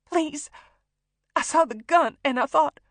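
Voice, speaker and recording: Narrator lady as scared hostage woman begging, voice way up high, breathless and choppy, audiobook acting. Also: a bit bright, dry recording. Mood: desperate, placating. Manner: breathless, halting pleading. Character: desperate, frightened female doctor held hostage, pleading.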